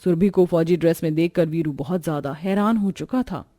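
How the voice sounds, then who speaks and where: A woman's voice: on the low side, fairly quick, warm tone, no room sound, studio quality. A woman reading a scripted moral story as its narrator.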